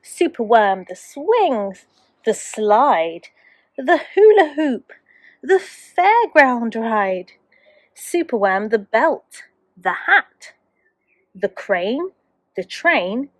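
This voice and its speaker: A woman's voice: a little high, sing-song, drawn-out words, no echo. A woman, a teacher, reading a children's storybook aloud expressively to young children.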